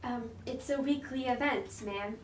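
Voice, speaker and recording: A woman's voice: fairly high pitch, measured pace, lightly acted. A woman playing a young royal attendant in amateur comic theatre, hesitant, apologetic and deferential. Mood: doubtful, flustered. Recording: echoey room, distant mic, faint noise.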